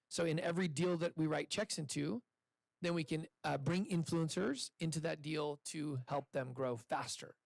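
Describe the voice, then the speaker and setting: A man's voice: on the high side, gravelly, no room sound, rough recording. Upbeat man hosting a live event. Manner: chatty, upbeat, loose with restarts. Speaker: event host and investor. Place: indoor event room with seated audience. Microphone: handheld microphone.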